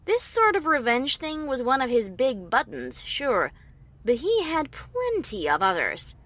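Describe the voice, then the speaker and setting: A woman playing a wry, defiant adventurer heroine, narrating her story in the first person with expressive, dramatic delivery. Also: high-pitched, measured pace, heavily acted. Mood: defiant, amused.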